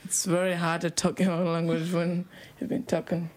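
Young man hosting a youth radio show, monotone. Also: a little high, moderate pace. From South Sudan. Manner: relaxed, laughing, mixes in another language. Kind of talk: casual radio interview chat.

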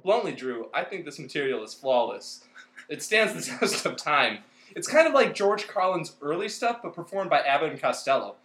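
A man's voice: a little high, big pitch swings, normal speed, a bit bright, roomy sound, a little hiss or hum. A man co-hosting a comedy podcast, bantering in a mock-dramatic manner, recorded in a room. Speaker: co-host.

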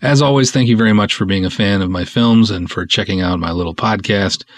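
A man with a fairly low voice and a husky texture talks casually as a podcast host. His delivery is wry and snarky, and the sound is dry and close. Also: fairly quick, dry recording. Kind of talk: casual podcast talk, reading reviews aloud.

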